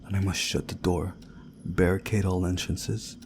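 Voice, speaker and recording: A man reading a visual novel story aloud in a measured, dramatic storytelling way, hushed at times. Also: deep voice, level tone, noisy background. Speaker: video game playthrough narrator.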